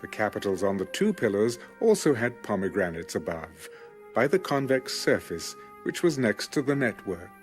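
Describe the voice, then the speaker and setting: A male narrator reads scripture in a measured, solemn way. His pitch moves in a lively range, and faint music plays behind him. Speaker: Bible narrator.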